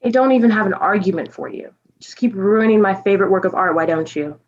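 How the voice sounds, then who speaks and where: A woman's voice: mid-range voice, quick pace, lo-fi sound, slight background noise, close to an everyday voice. A woman playing an earnest, pedantic, easily flustered storyteller in a comic stage reading. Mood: exasperated.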